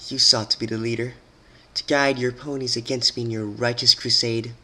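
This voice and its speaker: A man's voice: medium pitch, little pitch movement, fairly quick, pushed and bright. A man voicing a wrathful, regal female pony princess who scolds in a commanding, accusatory way in a dramatic reading. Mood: accusing. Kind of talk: dramatic fan-fiction reading.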